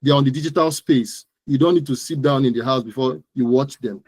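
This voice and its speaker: A man's voice: medium pitch, a little fast, darkish tone, no echo. A man with a Nigerian accent giving interview answers as an expert guest, explaining things emphatically and often repeating words and phrases. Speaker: guest digital marketing expert.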